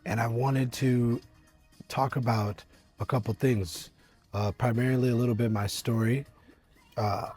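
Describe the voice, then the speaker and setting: A young man with a husky, deep voice, talking earnestly to camera outdoors at a winery, rambling with many fillers and restarts as a business coach. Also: steady tone, unhurried, long pauses, darkish tone. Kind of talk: casual motivational talk to camera. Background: faint eighties music.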